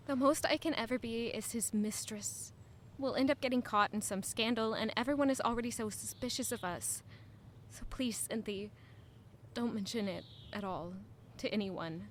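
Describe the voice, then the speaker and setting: A woman as a guarded, high-voiced young lady-in-waiting. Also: moderate pace, a little hiss or hum, close to an everyday voice. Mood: quietly pleading. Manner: earnest, introspective. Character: red-haired young lady-in-waiting to a queen, guarded and wistful. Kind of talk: audio drama narration and dialogue.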